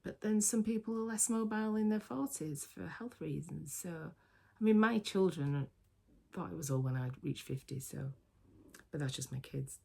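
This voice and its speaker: A woman's voice: on the low side, expressive, normal speed, gravelly, warm tone, slightly rough. A woman in her sixties from the UK, chatting casually and reflectively to camera on her vlog, with filler words and restarts. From United Kingdom. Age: 60s. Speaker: vlogger.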